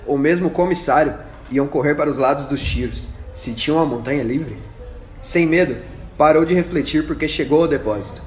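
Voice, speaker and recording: A man narrating a scripted radio drama as its storyteller. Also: a little high. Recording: echoey room with faint noise.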